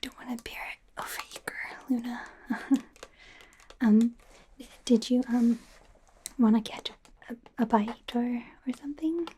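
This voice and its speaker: Woman playing an awkward whispering werewolf doctor, unhurried. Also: on the high side, a little hiss or hum, close to an everyday voice. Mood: shy, flirty. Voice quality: soft, breathy whisper. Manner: hesitant, rambling, giggly, stammering. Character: awkward, eager female werewolf trainee doctor, flirty and self-deprecating. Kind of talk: whispered ASMR medical roleplay.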